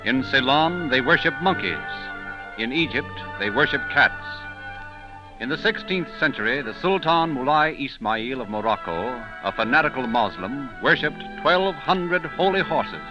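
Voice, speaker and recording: A man's voice: mid-range voice, expressive, measured pace. A man narrating a 1930s radio programme in an emphatic, dramatic, scripted announcer style, on an old recording. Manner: declamatory, emphatic. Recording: dull 1930s radio recording, music behind.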